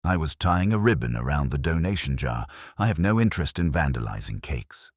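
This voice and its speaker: A man's voice: extremely low pitch, very animated, a little fast, slightly rough, no echo, clean studio sound, exaggerated voice. A man voicing a catty, indignant village woman who retorts in an offended manner in a mystery story.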